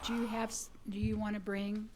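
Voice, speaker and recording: A woman chairing a legislative committee hearing, running votes and calling speakers in a brisk, procedural way. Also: mid-range voice, flat delivery, background noise.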